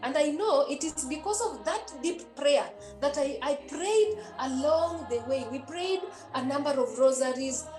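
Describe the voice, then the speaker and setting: Nun, high voice, earnest and repetitive preaching to youth; roomy echo, faint music underneath. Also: female voice, lively intonation, unhurried, few pauses, a little mellow. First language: a Kenyan language. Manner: earnest and repetitive, with many hesitations. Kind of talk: exhortation to young people.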